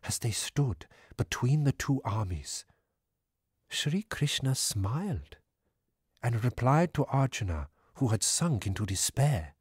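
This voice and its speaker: A man reading scripture as an audiobook narrator in a solemn, measured manner. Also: mid-range voice, big pitch swings, husky, no room sound, clean studio sound.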